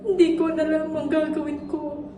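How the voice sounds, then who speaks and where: A young woman acting an exhausted, despairing student, speaking haltingly and repeating her words. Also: sits high, little pitch movement, lightly acted. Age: young adult. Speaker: student actor. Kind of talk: stage monologue. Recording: echoey room, distant mic, music.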